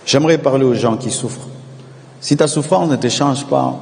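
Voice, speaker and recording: A male pastor preaching a sermon, calling on the congregation to repeat after him and swelling into shouted refrains. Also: medium pitch, normal speed, vocal fry, long reverb tail, slight background noise. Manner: preaching with call-and-response, rising to shouted repetitions.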